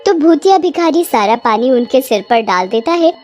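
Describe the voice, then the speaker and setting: A woman narrates a cartoon storybook tale. Her voice sits fairly high and moves with very animated intonation. Music plays behind her. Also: moderate pace.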